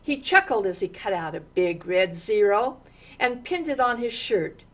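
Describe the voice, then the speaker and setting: A woman reading a children's story aloud in an expressive, deliberate way, with dramatic pauses and stressed words. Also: mid-range voice. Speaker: storytime reader.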